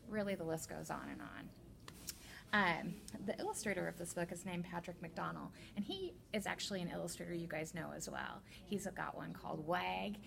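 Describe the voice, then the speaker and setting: A woman reading a children's picture book aloud as a teacher librarian, with deliberate, expressive storytelling. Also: fairly low pitch, creaky, background noise.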